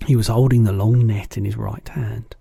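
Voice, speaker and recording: A man, a teacher, reading a children's book aloud in steady, low-key narration. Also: normal speed, gravelly, breathy edge, dry recording.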